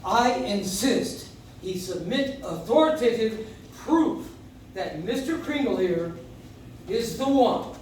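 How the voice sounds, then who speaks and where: A pompous prosecutor played by a man declaims in a high voice at a slow, deliberate pace, stiff and indignant. It is radio courtroom drama, heard from far off in a very echoey room. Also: animated, darkish tone, slight background noise. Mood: indignant, formal. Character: exasperated, pompous male prosecutor, stiffly formal. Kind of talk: old-time radio courtroom drama acting. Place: coffee shop stage, recorded from the audience. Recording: echoey live stage, far-off audience recorder.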